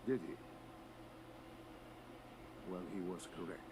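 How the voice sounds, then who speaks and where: An actor playing an elderly scientist leader in a video game, calm and coldly idealistic, speaking in a measured, formal way. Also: male voice, low voice, heavy background noise. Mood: measured, justifying. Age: older.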